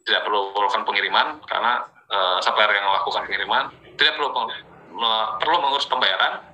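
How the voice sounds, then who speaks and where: A man's voice: on the low side, sharp tone, rough recording. A man giving an informal, explanatory sales presentation, talking conversationally and often checking in with his listeners using small tag words. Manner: conversational, repeats filler tags to check in with listeners. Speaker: presenter of an affiliate marketing training.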